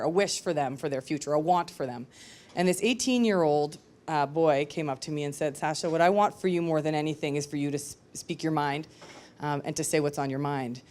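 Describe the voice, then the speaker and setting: A woman telling a personal story to an audience in a candid, conversational, self-reflective way. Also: fairly low pitch. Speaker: speaker at a live storytelling show.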